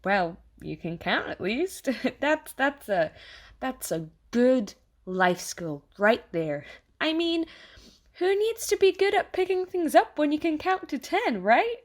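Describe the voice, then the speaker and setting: A woman plays a bubbly artificial assistant living in someone's head, with a high, swooping voice. The character is eager to help and quick to apologise. The delivery is an awkward, over-reassuring audio-theatre monologue spoken straight to the listener. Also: very animated, heavily acted. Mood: nervously upbeat. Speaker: AI personal assistant.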